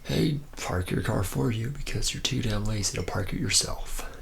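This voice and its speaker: A man's voice: on the low side, moderate pace, vocal fry, a little hiss or hum, pitched above the performer's own voice. A man playing a charismatic, hard-drinking senior robot mechanic in an ASMR roleplay, rambling in a loose, laid-back way. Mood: rambling, casual.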